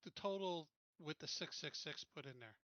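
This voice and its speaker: Higher-pitched man, bright and direct. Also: animated, moderate pace, no room sound, muffled recording. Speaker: player in an online tabletop game. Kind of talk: casual chat. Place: online voice chat.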